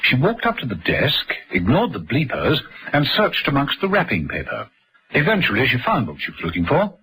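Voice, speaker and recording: A man's voice: medium pitch, huge pitch swings, moderate pace, bright, forceful tone, husky, poor audio. A man narrating a scripted radio drama, telling the story between the scenes.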